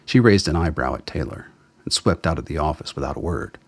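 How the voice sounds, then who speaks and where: A man's voice: rumbling low, animated, brisk, creaky, slightly rough. A man reading scripted dramatic narration as the narrator of a radio play.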